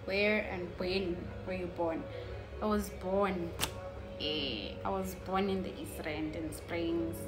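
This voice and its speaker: A woman's voice: on the low side, steady tone, slow pace, music in the background, poor audio. A young woman in her twenties with a South African accent, chatting casually to camera at home and drifting into joking asides. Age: 20s. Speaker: YouTuber. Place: living room at home, indoors.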